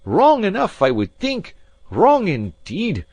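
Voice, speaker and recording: A man voicing a fiery Scottish Highlander. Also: sing-song, normal speed, a little mellow, no room sound, lo-fi sound. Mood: furious. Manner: gruff, fiery, blustering. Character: fierce proud Scottish Highland gentleman.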